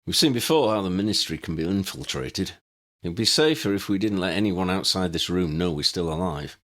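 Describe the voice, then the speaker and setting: A man's voice: low voice, animated, fairly quick, fairly bright tone. A man voicing a practical, wry male sidekick with a blunt, plain-spoken manner in a storybook. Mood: advising.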